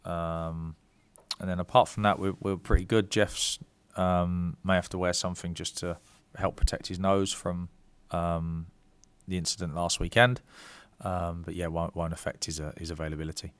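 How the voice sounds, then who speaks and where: Man in his 30s, football manager at presser, low smooth voice, dry room, halting ums. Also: level tone, no echo. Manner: measured, many ums and restarts. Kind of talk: press conference answers. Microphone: desk mic.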